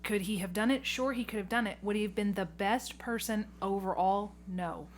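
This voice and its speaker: A woman's voice: medium pitch, moderate pace. A woman in her late thirties hosting a conversational podcast interview, speaking emphatically and repeating words for stress. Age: late 30s. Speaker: podcast host, community theatre director. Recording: noticeable background noise.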